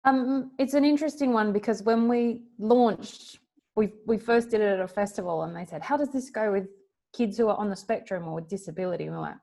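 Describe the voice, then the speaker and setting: A woman's voice: medium pitch, brisk, gravelly, mellow. A woman speaking as a startup founder on an online panel, in a casual, chatty storytelling style. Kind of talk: casual spoken anecdote.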